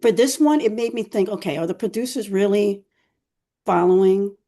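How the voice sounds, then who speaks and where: A woman co-hosting a podcast in a casual, rambling chat, her voice going hoarse as she keeps clearing her throat. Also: mid-range voice, moderate pace, dark tone. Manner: chatty, rambling, many fillers. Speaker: podcast co-host.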